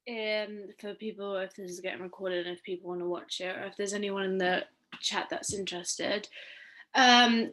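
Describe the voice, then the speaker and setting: A woman's voice: mid-range voice, fairly bright tone. Woman giving casual online updates.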